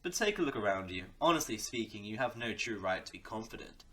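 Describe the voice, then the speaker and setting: A man's voice: on the low side, sing-song, fast talker, sharp tone, slightly rough, exaggerated voice. A man playing a smug, sadistic crime boss in an audio drama, taunting theatrically and slipping into menacing whispers. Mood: smug menace. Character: smug, sadistic male crime-boss villain who threatens softly. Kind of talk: audio roleplay drama acting.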